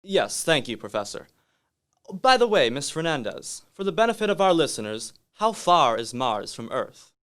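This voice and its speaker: A man's voice: a little high, sing-song, moderate pace, a bit bright, smooth. A man playing an earnest radio news reporter in a radio play, asking questions and reporting formally. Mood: polite, formal.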